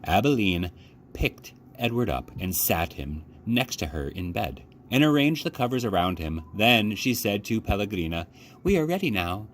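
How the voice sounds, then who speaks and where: A man with a fairly low voice narrates a children's book. His delivery swings widely and expressively in pitch, with faint noise in the background. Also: expressive, normal speed. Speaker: library children's storyteller. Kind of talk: expressive read-aloud narration.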